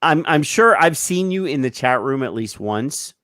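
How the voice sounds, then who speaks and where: Host role: joking, rambling man, mid-pitch voice, dry close mic. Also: lively intonation, moderate pace, a bit bright, dry recording. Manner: rambling, joking, lots of restarts. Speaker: show host. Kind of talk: casual podcast talk.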